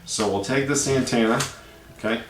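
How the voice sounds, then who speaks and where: A man giving a casual, plain-spoken product review, explaining features step by step with hesitant pauses. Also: mid-range voice. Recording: roomy echo, distant mic, faint noise.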